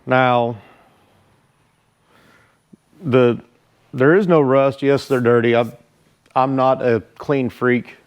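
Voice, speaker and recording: A man giving a casual product review as a motorcycle vlogger, speaking informally and haltingly. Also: medium pitch, steady tone, clean tone. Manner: informal, halting, unhurried delivery.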